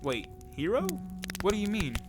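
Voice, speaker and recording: Naive young rookie sidekick male actor, audio fiction: higher-pitched, lively swings, questioning and jumpy. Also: moderate pace, over music. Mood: uneasy questioning. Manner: questioning, easily startled. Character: Ed, excitable, naive young rookie, the hero's school friend. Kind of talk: audio drama acting.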